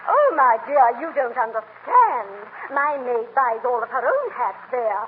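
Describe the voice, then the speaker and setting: An actress playing a snobbish, affected society matron, haughty and pompous, in 1930s radio comedy style. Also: female voice, fairly high pitch, animated, unhurried. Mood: condescending. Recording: tinny, hissy 1939 radio broadcast.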